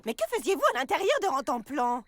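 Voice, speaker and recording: A woman voicing a brisk, urgent female rescuer in a cartoon. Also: sits high, lively intonation. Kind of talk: animated cartoon acting. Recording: music behind the voice.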